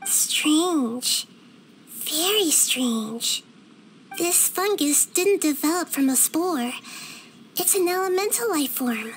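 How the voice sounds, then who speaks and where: A woman voicing a gentle, wise, child-sized nature deity in a video game, speaking in a calm, thoughtful, articulate way. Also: sits high, slow, slight background noise. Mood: curious, calm. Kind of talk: scripted video game dialogue. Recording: game music behind.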